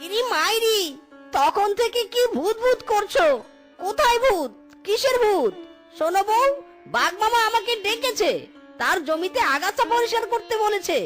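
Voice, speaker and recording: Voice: very high voice, expressive, normal speed, a bit bright. A performer voicing a bewildered fox husband in a cartoon, plaintive and questioning, with music behind. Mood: baffled. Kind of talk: cartoon voice acting.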